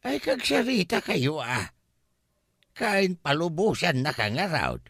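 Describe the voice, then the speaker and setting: A man's voice: on the high side, huge pitch swings, slow, creaky, fairly bright tone, breathy edge, heavily acted. A man with an Ilocano accent playing an exasperated, teasing countryman in a comedy-drama. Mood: exasperated, resigned.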